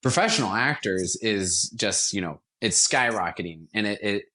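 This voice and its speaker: A man's voice: lowish voice, expressive, normal speed, sharp tone. A man speaking as an interview guest, explaining his creative work as a radio theatre director in an enthusiastic, conversational way.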